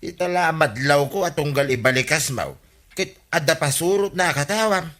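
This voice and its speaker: A man's voice: on the high side, moderate pace, a bit bright, natural voice. A man with an Ilocano accent playing an earnest, advising village uncle in a comedy radio drama. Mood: earnest, concerned.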